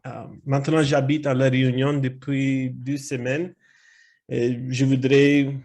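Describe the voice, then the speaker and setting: A man speaks slowly and hesitantly in learner's French, pausing to search for words. He stays polite and eager throughout. His voice sits at a middle pitch, heard over a video call. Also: flat delivery, darkish tone. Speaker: new club member introducing himself. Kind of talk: hesitant spoken self-introduction.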